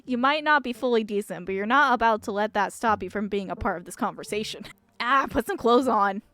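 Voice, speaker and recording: A woman's voice: mid-range voice, a little fast, a bit bright. A woman doing casual, giggly, reactive commentary while she plays a game and reads it aloud. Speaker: streamer playing a visual novel.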